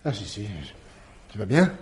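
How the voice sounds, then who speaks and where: A man's voice: fairly low pitch, very animated, measured pace, a little hiss or hum. An actor in a French radio mystery drama playing a reluctant, irritable, evasive businessman who hesitates and grumbles. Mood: guarded.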